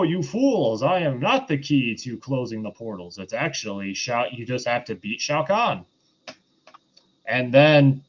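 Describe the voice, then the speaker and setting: A man's voice: mid-range voice, lo-fi sound. A man hosting a casual film review, recapping in a loose, rambling conversational way.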